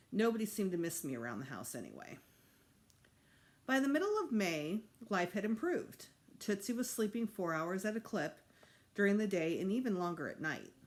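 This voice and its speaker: Female storyteller, teacher reading to children. Highly animated intonation, medium pitch, emphatic and expressive. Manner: animated, acts out dialogue with emphasis. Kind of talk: expressive read-aloud storytelling.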